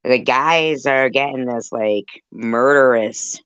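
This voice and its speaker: A woman's voice: very deep voice, slow pace, fairly bright tone, smooth, no room sound, dull audio. A woman chatting casually as a host, reacting with slangy exclamations. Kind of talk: casual chat.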